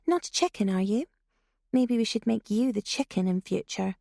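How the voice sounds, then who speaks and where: A woman's voice: mid-range voice, animated, normal speed, creaky, warm tone, no room sound, studio quality. A woman voicing a sneering teenage girl who taunts a classmate. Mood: taunting.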